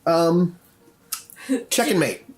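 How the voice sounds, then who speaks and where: A man's voice: a little high, very slow. A man narrating a tabletop game as game master, conversational and improvised. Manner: animated, conversational.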